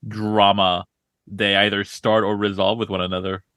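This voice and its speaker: Low, bright-voiced man in casual chat. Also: flat delivery, moderate pace, dry recording. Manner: enthusiastic, colloquial, addressing listeners as y'all. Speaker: podcast co-host.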